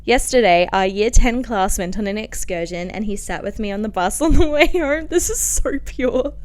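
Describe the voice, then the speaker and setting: Clear-voiced young Australian woman, casually confessional. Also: medium pitch, normal speed. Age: 20s. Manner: animated, self-mocking, giggly asides, frequent "like". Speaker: podcast host. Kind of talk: casual, confessional podcast storytelling and diary reading.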